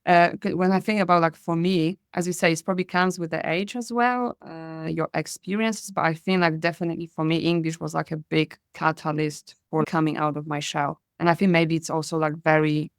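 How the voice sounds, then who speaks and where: A woman's voice: low voice, fairly even intonation, brisk, hardly any pauses, clear, resonant tone, no room sound, clean studio sound. A woman from Poland, hosting a podcast interview and asking long, chatty questions. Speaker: podcast host. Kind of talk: conversational interview.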